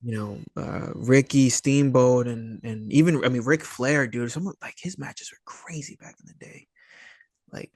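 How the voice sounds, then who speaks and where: A man's voice: medium pitch, normal speed, a little mellow, husky, no echo, studio quality. A man chatting casually as a podcast guest, enthusiastic and slangy, hesitating through lots of likes and dudes. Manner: enthusiastic, slangy, swearing, hesitant phrasing full of 'like' and 'dude'. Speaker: podcast guest, independent filmmaker. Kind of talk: casual chat.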